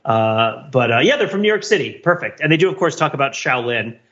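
A man co-hosting a podcast, chatting casually about pop culture in a rambling, digressive, animated way on a slightly lo-fi track. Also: mid-range voice, brisk. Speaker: podcast co-host.

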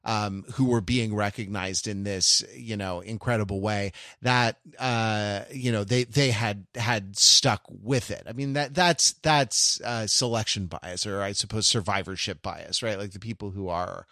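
A man's voice: lowish voice, measured pace, hardly any pauses, bright, forceful tone, no room sound, clean studio sound. A man from Los Angeles co-hosting a podcast, talking in a rambling, digressive way and correcting himself as he goes. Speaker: podcast co-host. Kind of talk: casual chat.

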